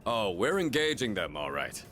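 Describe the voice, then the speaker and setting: A man's voice: fairly low pitch, very animated, normal speed, a bit bright, faint music behind. A man voicing an eager, cocky teenage boy fighter in an anime video game, with a casual manner.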